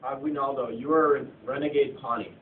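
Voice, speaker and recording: A man's voice: medium pitch, steady tone, fairly quick, a little hiss or hum, natural voice. A bossy American leader played by a man in an amateur puppet show, rattling off blunt commands at speed. The sound is echoey and far from the mic. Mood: blunt, commanding. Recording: tinny phone recording, distant, echoey.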